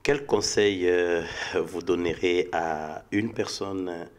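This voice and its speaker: A man's voice: deep voice, steady tone, slow. A man conducting an interview in a conversational style.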